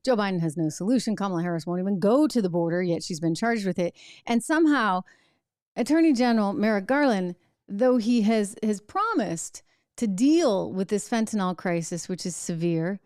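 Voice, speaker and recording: A woman's voice: mid-range voice, animated, moderate pace, darkish tone, dry recording, studio quality. A woman hosting a political commentary show, speaking emphatically and reacting to clips with rhetorical questions. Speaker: host.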